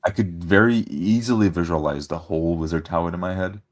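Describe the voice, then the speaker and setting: A man's voice: deep voice, fairly even intonation, fairly quick. A man chatting casually as a player in a tabletop game group. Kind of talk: casual chat.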